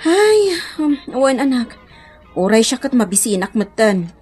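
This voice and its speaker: A woman's voice: on the high side, very animated, measured pace, a little mellow, husky, faint music behind, full character voice. A woman playing a weary, aggrieved village mother in an Ilocano radio drama, lamenting in an emotional way with an Ilocano accent. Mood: grateful, tired. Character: weary, aggrieved poor farm wife and mother.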